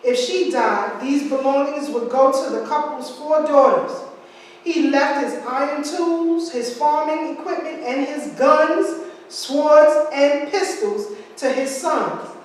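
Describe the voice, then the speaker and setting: Woman lecturer, high register, conversational asides; long hall reverb, distant camera mic. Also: slow, a little hiss or hum. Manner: asides and rhetorical questions to audience. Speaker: history speaker. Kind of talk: lecture reading from slides. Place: large room with hard walls. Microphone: far camera. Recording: echoey hall from far off.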